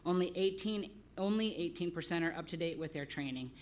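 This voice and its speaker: Woman police chief, lowish voice, steady factual reading of a formal recognition. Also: a little fast, slight background noise. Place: council chamber.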